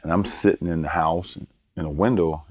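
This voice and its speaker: A man in his sixties from Baltimore, a guest telling stories from his life in a relaxed way, chuckling and repeating words for emphasis. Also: deep voice, normal speed, slightly rough, dry recording, clean studio sound. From Baltimore, Maryland. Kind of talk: casual storytelling interview.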